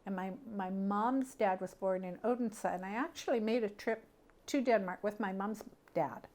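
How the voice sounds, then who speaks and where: A middle-aged woman from Canada sharing personal memories casually as an interviewee, thinking aloud in a halting, conversational way. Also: mid-range voice, darkish tone.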